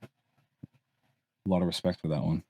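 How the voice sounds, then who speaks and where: Deep-voiced young man rambling casually. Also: flat delivery, slow pace, darkish tone. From Barbados. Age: early 20s. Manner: rambling, restarts, swears casually. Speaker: guest content creator. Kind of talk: casual podcast chat.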